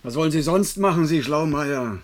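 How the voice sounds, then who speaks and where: Zealous, formal male official played by an actor, higher-voiced. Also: expressive, normal speed, close to an everyday voice. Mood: curt, scornful. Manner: formal, precise, declamatory. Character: zealous, irritable male research official in dystopian science fiction. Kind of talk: German radio play acting.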